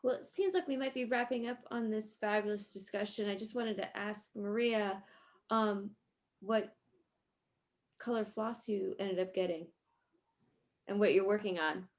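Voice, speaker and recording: A woman's voice: mid-range voice, measured pace, long pauses. A woman talking casually in a friendly group chat, as the one leading the discussion.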